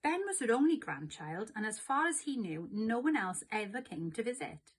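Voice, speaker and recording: Woman reading a kids book out loud, expressive, highish voice, bit husky. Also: normal speed. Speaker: storybook narrator. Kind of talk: expressive scripted read-aloud of a children's story.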